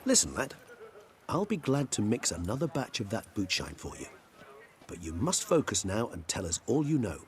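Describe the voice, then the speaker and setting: An actor playing an erudite, courteous gentleman companion in a video game, speaking in measured, formal, wordy sentences. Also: on the low side, sing-song. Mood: kindly, persuasive.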